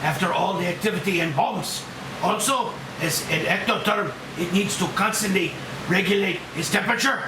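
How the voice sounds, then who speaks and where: A man's voice: high-pitched, normal speed, lightly acted. A man playing a blunt, technical animal expert briefing a dangerous mission in a screenplay table read. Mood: instructive, grave. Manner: punctuates explanations with "Oi!". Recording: roomy, distant mic, clear background hiss.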